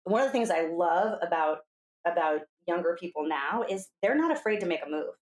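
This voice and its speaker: An American woman around fifty with a slightly lower-pitched voice, recorded in a roomy, echoing space. She talks casually and candidly, slipping into quoted dialogue as she tells her stories. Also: animated, brisk, a little mellow. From New Hampshire, USA. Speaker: podcast guest, coach. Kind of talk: casual conversational storytelling.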